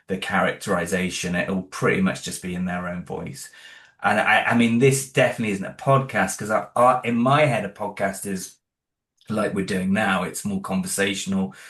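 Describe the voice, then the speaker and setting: A low-pitched man talks through his audio drama in a relaxed, rambling interview, restarting his sentences as he goes. His voice rings around an echoey room. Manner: rambling, many restarts, laughs along. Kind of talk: casual interview chat.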